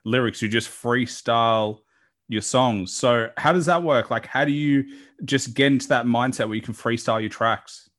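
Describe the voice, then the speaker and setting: A man's voice: medium pitch, moderate pace, fairly bright tone. A man hosting a casual interview, asking informal, wordy questions peppered with "like". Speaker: podcast host interviewing a guest.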